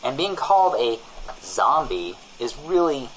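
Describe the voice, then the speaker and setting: A man's voice: mid-range voice, sing-song, a little slow, rough recording. A man co-hosting a comedy podcast, improvising mock-earnest banter. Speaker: podcast co-host.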